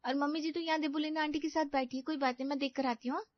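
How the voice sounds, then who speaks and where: A woman voicing a young, quick-witted daughter-in-law in a family story, complaining and glib in manner. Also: high voice, steady tone, no echo, highs cut off, natural voice. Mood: glib, excusing.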